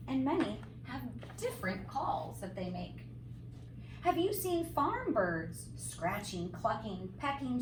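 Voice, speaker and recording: A woman reads a children's book aloud in an expressive, sing-song teacher's delivery. Her pace is unhurried and her pitch swings widely from phrase to phrase. The recording is roomy, picked up at some distance, with faint noise behind her. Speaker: teacher reading a storybook aloud. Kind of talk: expressive children's read-aloud with simple asides.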